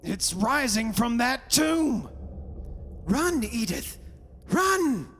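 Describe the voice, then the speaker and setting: Man playing scoffing theatrical father, radio melodrama, slow delivery, long hall reverb. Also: very high-pitched, sing-song, fairly bright tone, slight background noise, exaggerated voice. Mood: horrified. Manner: theatrical, blustering. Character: stubborn, scoffing father who mocks an old legend. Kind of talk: melodramatic radio horror acting.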